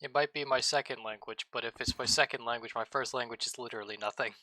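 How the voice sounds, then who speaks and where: A man's voice: medium pitch, quick pace, bright, forceful tone. A man in casual gaming chat, joking and rambling with playful, absurd asides. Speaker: streamer co-host.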